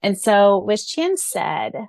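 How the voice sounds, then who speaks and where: A woman co-hosting a fan podcast in casual chat, gushing and excitable, laughing often. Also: mid-range voice, slow, creaky. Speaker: podcast co-host.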